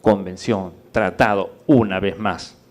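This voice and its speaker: A man lecturing in public, didactic and rhetorical, with deliberate pauses, emphasis and questions to check that listeners follow. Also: sits low, fairly even intonation. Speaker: conference speaker.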